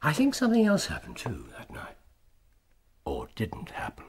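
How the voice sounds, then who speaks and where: An actor playing a stern English gentleman detective in a radio drama, speaking precisely and deliberately, with an accusing edge. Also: a little high, huge pitch swings, a little hiss or hum. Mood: probing.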